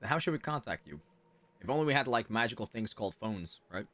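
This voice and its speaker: A man's voice: fairly low pitch, expressive, quick pace, fairly bright tone, a little hiss or hum. A man giving casual live game commentary, musing aloud and joking in a chatty way. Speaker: game streamer. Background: game music.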